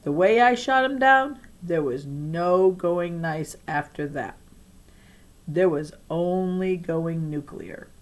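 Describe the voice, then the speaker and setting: A woman reading a children's novel aloud as an expressive narrator, with deliberate, emphatic phrasing. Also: on the low side. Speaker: audiobook-style reader of a children's novel.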